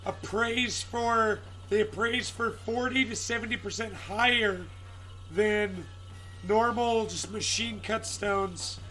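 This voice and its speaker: A man with a raspy, strained voice chats casually on a live stream, searching for words and greeting viewers by name. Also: high voice, sharp tone, poor audio.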